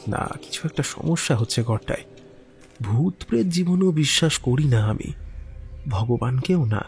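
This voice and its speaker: A man narrating a first-person horror story in an expressive, theatrical way, with musing asides and exclamations. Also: fairly high pitch. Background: background music.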